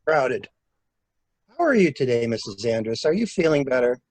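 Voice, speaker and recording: A man's voice: medium pitch, sing-song, normal speed, dry recording. A man playing a polite, evasive traveler with clipped, hesitant replies in a murder mystery reading. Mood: guarded, polite. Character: polite, halting stranded male traveler, evasive witness.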